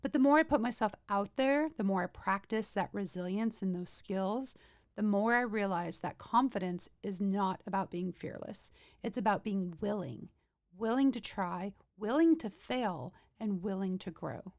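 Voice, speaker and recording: A woman hosts a podcast, speaking to the listener in an upbeat, motivational and conversational way. Her voice sits at a middling pitch. It is recorded dry and close, with no room sound. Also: lively intonation, normal speed, dry recording. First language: not Swedish. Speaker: podcast host. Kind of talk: scripted podcast monologue.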